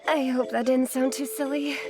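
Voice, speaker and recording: An actress playing a modest, gentle young woman in a video game, speaking earnestly. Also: high-pitched, steady tone, normal speed. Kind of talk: video game character acting. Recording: music behind the voice.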